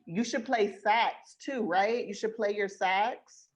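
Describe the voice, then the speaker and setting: A woman co-hosting a casual podcast, chatting in a playful, quick way. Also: mid-range voice. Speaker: podcast co-host. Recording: loud music under the voice.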